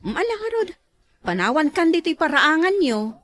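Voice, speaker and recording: A woman's voice: high-pitched, big pitch swings, moderate pace, background noise. A woman playing a matter-of-fact village official in a comedy drama, with a Filipino accent. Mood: businesslike.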